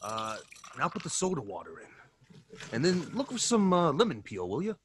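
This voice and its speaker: A bossy radio-drama pal, very noisy sound. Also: male voice, on the high side, wildly swooping pitch, normal speed. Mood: busy, bossy. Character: busy, bossy male friend mixing drinks. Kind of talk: old-time radio mystery acting. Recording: loud noise and clicks.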